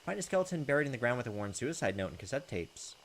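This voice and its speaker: A man's voice: medium pitch, very animated, fast talker, a little hiss or hum. A young man reading a visual novel aloud, mixing dramatic narration with casual, informal commentary. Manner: reads in-game text dramatically, comments informally. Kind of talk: game narration with casual asides. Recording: music behind the voice. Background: video game music.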